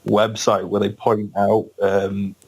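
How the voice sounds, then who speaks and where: A man, a guest musician on a casual podcast, tells his stories haltingly and with plenty of fillers. He laughs easily as he goes. His voice sits on the lower side. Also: completely flat delivery, gravelly, poor audio. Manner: hesitant, full of ums, laughs often. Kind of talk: casual podcast interview storytelling.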